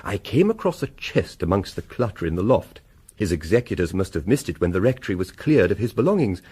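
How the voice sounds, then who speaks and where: Casting: effusive English country clergyman, Victorian period drama, lowish husky voice, genteel and talkative. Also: very animated, a little fast, dark tone, heavily acted. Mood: confiding, pleased. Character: genial, loquacious Victorian country rector, keen antiquarian. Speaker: actor in an audio drama. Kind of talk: period radio drama acting.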